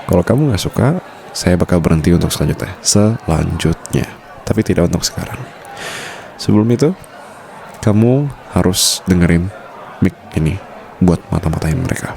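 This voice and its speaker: A man's voice: sits low, animated, normal speed, creaky, long pauses, a little mellow, slight background noise. A man playing a suave, protective mafia boss boyfriend in an intimate, teasing ASMR roleplay. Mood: teasing, calm.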